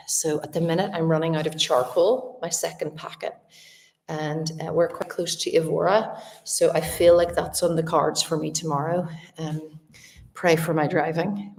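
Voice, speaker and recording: A woman's voice: sits low, darkish tone, big-room sound, a little hiss or hum. A woman with a Northern Irish accent, chatting casually and directly to her viewers in a live stream from a large old house. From Northern Ireland. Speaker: artist livestreaming to viewers.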